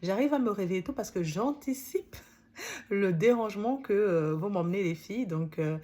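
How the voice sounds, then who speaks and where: A woman's voice: medium pitch, expressive, normal speed, warm tone. A woman in her thirties, not a native French speaker, chatting directly to viewers as she presents a book summary. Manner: chatty, addresses viewers directly, laughs often. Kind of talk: casual talk to camera.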